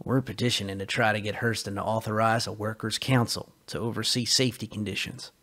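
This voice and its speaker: Man acting a stern, husky, reproachful revolutionary. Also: lowish voice, steady tone, a little fast. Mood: sullen. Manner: forceful, clipped, scolding. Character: intense, sullen, weary male revolutionary, stern and reproachful.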